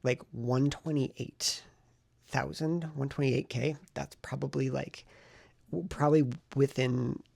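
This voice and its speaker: A man with a heavily fried, husky voice talks in a loose, conversational vlog style. He thinks aloud, circling back over his points in a self-reflective ramble. The sound is dry, with very little room around the voice. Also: mid-range voice, fairly even intonation, takes it slowly, a little mellow, dry recording. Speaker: writing vlogger and novelist. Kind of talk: casual talking-head vlog.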